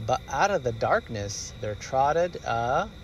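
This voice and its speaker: A male storyteller narrates rhyming children's verse with lots of pitch movement. He takes his time, and faint ambient noise sits behind the voice. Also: very animated, takes it slowly, lo-fi sound.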